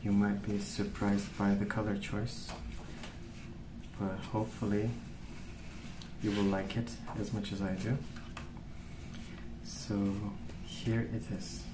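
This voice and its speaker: A man rambling softly in an ASMR style, hushed, hesitant and unhurried. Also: on the low side, little pitch movement. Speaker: ASMR video host. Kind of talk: soft-spoken ASMR rambling. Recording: roomy, distant mic with clear noise.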